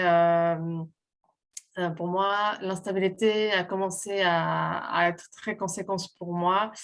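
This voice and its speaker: A woman from Portugal, a guest, sharing a sincere personal testimony while pausing and searching for her words. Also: on the low side.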